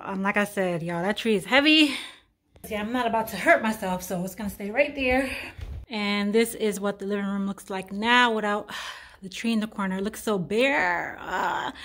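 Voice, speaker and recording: A woman's voice: mid-range voice, a bit bright. A young woman chatting casually to her viewers while decorating her home, laughing at herself and calling them y'all. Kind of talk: casual home vlog chat. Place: bright home, living room and stairway.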